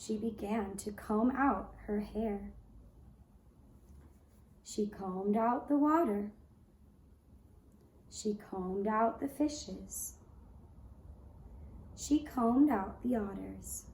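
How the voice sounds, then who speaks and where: A woman giving gentle scripted narration of a tale as a puppet show storyteller, soft and deliberate, with long pauses. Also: mid-range voice, echoey room, slight background noise, poor audio.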